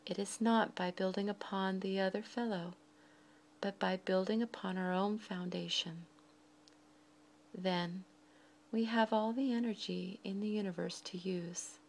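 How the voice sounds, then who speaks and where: A woman leads a guided meditation in a soft, breathy voice, speaking slowly and deliberately in short phrases with frequent pauses. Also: medium pitch, steady tone, slight background noise.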